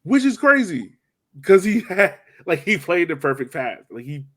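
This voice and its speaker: A man's voice: sits high, sing-song, moderate pace. A man hosting a sports podcast, chatting informally and slangily as a fan. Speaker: podcast host. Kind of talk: casual sports chat.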